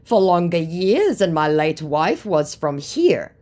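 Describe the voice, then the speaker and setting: A woman voicing a smooth-talking male romance scammer with flattering, broken phrasing. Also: lowish voice, slow, pitched above the performer's own voice.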